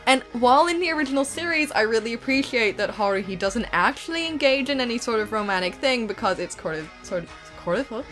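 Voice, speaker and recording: A woman's voice: on the high side, expressive, fast, few pauses, fairly bright tone. Enthusiastic woman chatting over music. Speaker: co-creator of a fan parody series, answering viewer questions. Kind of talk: casual chatty Q&A. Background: instrumental music.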